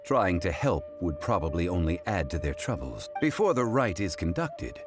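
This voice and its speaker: Learned courteous gentleman, low-pitched voice, formal, erudite, calm, video game dialogue. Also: moderate pace. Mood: composed, explanatory. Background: faint game music.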